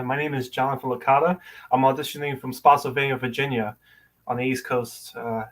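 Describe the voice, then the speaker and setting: A man auditioning over a video call, chatting in a nervous, halting and apologetic way. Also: medium pitch. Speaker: auditioning actor. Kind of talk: casual, hesitant video-call chat.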